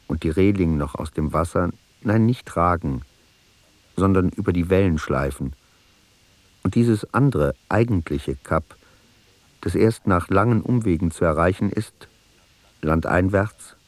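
An actor playing a solitary traveller, giving terse, fragmentary, observational journal notes in a radio play. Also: male voice, sits low, no echo. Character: solitary male traveller noting a desolate cape in his journal.

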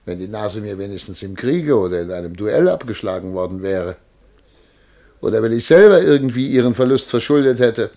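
Pompous, plaintive major, creaky voice. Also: male voice, fairly low pitch, lively intonation, a little fast, mellow. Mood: despairing, lamenting. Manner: pompous, formal, plaintive and indignant. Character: vain, distraught major who has lost his nose. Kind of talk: theatrical German radio drama acting.

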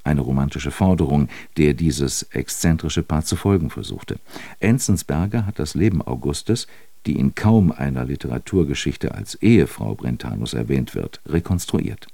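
A man's voice: very deep voice, expressive, normal speed, gravelly, mellow, no echo. A man with a standard German accent giving a composed, explanatory scripted introduction as a radio announcer. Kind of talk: scripted narration.

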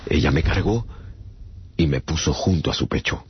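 Very deep-voiced man narrating radio drama. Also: normal speed, breathy edge, no echo. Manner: theatrical, literary. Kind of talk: dramatised first-person scripted narration. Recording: muffled radio drama with music behind.

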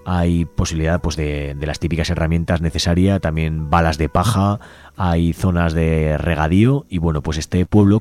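A man presenting a community radio show, reading out a manifesto and describing a project in a measured, slightly halting way. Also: sits low, darkish tone. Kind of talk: community radio presentation, partly read aloud.

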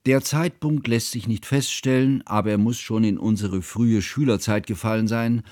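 An actor playing an earnest, bookish man narrating memories of a friend in formal, measured for a radio drama. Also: on the low side, a little mellow, no room sound, clean studio sound, natural voice. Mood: reflective. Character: earnest, bookish male narrator recalling his friend's childhood.

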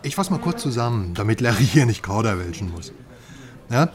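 A man's voice: on the low side, very animated, a little fast. Secretive male informant. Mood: eager explaining. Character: secretive, mistrustful informant, eagerly explaining to a confidant. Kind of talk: German radio crime drama dialogue.

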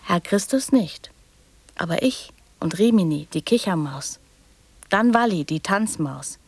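A woman's voice: on the low side, expressive, a little slow, slightly rough, no echo, exaggerated voice. An actress playing a young girl in a radio drama, prattling and teasing in childlike fragments that often drop to whispers. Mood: dreamy recounting.

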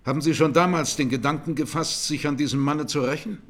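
An actor playing a methodical presiding judge in a radio courtroom drama, questioning formally and insistently. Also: male voice, a little high, steady tone, brisk, lightly acted. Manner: formal, terse, probing.

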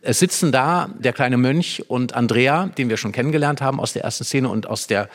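A man's voice: medium pitch, fairly quick. A man in conversation, explaining a play as an expert guest with vivid storytelling and emphatic repetition.

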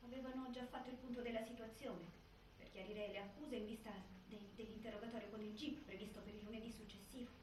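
A woman's voice: fairly high pitch, steady tone, quick pace, lightly acted. A woman playing the worried partner of a jailed man in a theatrical monologue. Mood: concerned. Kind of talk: theatrical first-person monologue. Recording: very echoey, distant, clear background noise.

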